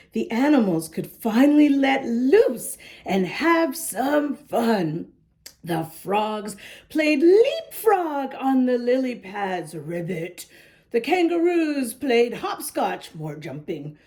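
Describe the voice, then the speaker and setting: A woman's voice: sits high, big pitch swings, slow, dark tone. A woman hosting a children's story time, reading a picture book expressively and chatting to young listeners in a sing-song way. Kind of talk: children's read-aloud with asides to young listeners.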